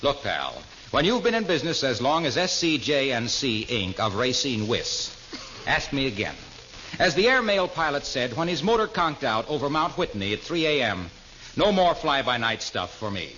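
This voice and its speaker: An actor playing a breezy, cheerful sponsor's announcer with polished, enthusiastic salesmanship in an old radio comedy. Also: male voice, mid-range voice, big pitch swings, normal speed, pushed and bright. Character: breezy, relentlessly cheerful sponsor pitchman pal. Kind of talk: 1940s radio comedy and advertising delivery. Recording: muffled old radio recording, faint noise.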